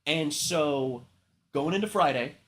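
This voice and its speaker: A man's voice: on the high side, slow. Sick man rambling on a stream. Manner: rambling, frequent swearing and filler words. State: sick, congested and coughing. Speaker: livestream host. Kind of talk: casual chat.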